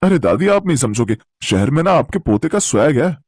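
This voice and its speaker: A man's voice: fairly high pitch, very animated, a little fast, darkish tone, no room sound. A man voicing a greedy, boastful, chubby city boy who complains brattily in a cartoon story.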